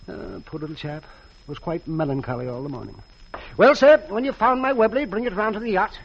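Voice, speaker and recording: An actor playing a pompous, wealthy and eccentric yacht skipper with formal, genteel phrasing in a 1940s radio drama. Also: male voice, sits high, a little fast. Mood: blithe. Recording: muffled old radio broadcast.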